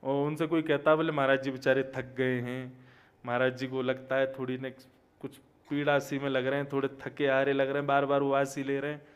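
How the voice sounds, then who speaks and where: A young man giving a devotional sermon, telling stories and chanting verses, quiet one moment and loud and emphatic the next. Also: medium pitch, level tone, normal speed, clean tone, dull audio. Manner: storytelling, swings from quiet to loud emphatic exclamations. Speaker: Bhagavat katha preacher.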